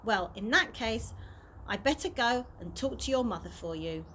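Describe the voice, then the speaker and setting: A woman's voice: fairly high pitch, animated, fairly bright tone. Woman reading as a kindly old tramp, noisy recording. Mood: earnest, resigned. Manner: courteous, earnest, measured. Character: kindly old male tramp, gentle and firm. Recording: noisy amateur home recording.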